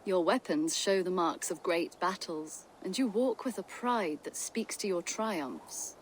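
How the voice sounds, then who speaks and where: A woman's voice: fairly low pitch, a little slow, a little hiss or hum, natural voice, rough recording. A woman playing a shrewd, confident hunter and trader in a video game, polite, articulate and businesslike. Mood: assured, businesslike. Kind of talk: video game character acting.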